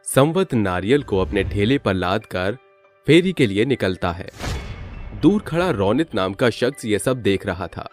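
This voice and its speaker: Male narrator for dramatic scripted tales, very lively intonation, music bed. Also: on the low side, a little slow. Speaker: story narrator.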